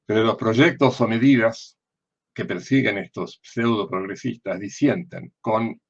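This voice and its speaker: An older Argentine man with a low voice and a gravelly, creaky edge delivers political commentary. He explains things deliberately, like a lecturer, asking questions and then answering them. The sound is a little dull and lo-fi. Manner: deliberate, didactic, with digressions and self-questions. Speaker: former diplomat and political analyst.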